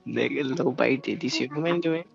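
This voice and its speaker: A man's voice: medium pitch, fast, gravelly. A man chatting in a playful, intimate role-play, teasing and coaxing as he goes. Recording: dull lo-fi call, music behind.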